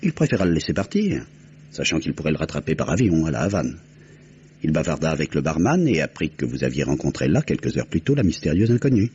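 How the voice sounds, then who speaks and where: An actor playing a composed detective, measured and articulate as he explains, in an old French radio crime drama. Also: very deep voice, sing-song, mellow, slightly rough, full character voice. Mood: methodical storytelling. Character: composed, methodical detective recounting a solved murder. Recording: muffled old radio recording, faint noise.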